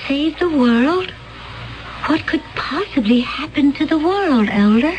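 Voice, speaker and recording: A woman's voice: high voice, expressive, slow, low-quality recording. An innocent alien woman. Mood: polite. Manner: soft, wondering, naive. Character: innocent gentle alien woman from a peaceful world. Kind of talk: 1970s radio drama.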